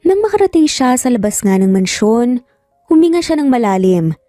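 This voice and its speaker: A woman's voice: a little high, sing-song, moderate pace, mellow, dry recording, clean studio sound. A woman narrating a scripted romance story in a dramatic storytelling style. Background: music.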